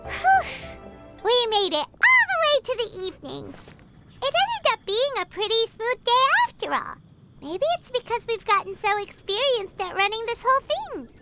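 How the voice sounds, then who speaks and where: A tiny chatty fairy companion for a game, very high pitch, lively delivery. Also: female voice, moderate pace, over loud music, heavily acted. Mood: chirpy. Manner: chirpy, speaks of herself by name. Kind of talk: game voice acting.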